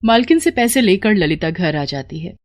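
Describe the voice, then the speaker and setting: A woman's voice: fairly low pitch, animated, moderate pace. A woman narrating a scripted moral story.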